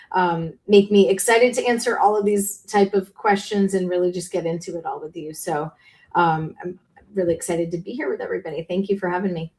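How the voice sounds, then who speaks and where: A woman with a mid-range voice introducing herself on a panel. She sounds upbeat and eager, peppering her talk with ums and small restarts. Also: mid-range voice. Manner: upbeat, enthusiastic, with many fillers. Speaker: panelist with a nutrition and psychology background. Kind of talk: casual panel conversation.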